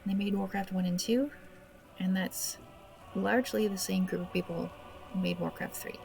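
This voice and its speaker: A woman's voice: medium pitch, fairly even intonation, moderate pace, gravelly, a little mellow, soft background music. A woman hosting a gaming video with casual, awkward, self-deprecating commentary, speaking hesitantly. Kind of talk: casual let's play commentary.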